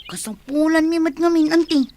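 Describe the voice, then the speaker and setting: A woman's voice: extremely high pitch, moderate pace, a little mellow, slight background noise. A woman playing an earnest young student daughter in an Ilocano radio drama. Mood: pleading. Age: young adult.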